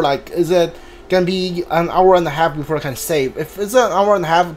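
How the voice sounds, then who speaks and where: Rambling male game streamer, noisy background. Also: high-pitched. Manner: rambling, hesitant, thinking aloud. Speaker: streamer playing a game blind. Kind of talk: casual live game commentary.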